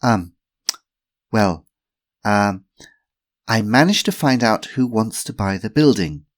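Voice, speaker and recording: A man doing a shy, halting young woman. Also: on the low side, animated, voice pushed up. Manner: hesitant, halting. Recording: roomy echo.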